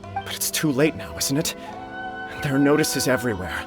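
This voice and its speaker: An actor playing a gentle, devoted young nobleman who speaks earnestly. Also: mid-range voice, lively intonation, normal speed, music in the background, natural voice. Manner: earnest, sincere. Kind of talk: video game cutscene dialogue.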